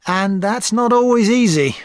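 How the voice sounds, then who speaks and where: An actress playing an experienced tutor advising a student in a scripted exam dialogue, calm and measured. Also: female voice, medium pitch, no room sound, natural voice. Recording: dry studio recording.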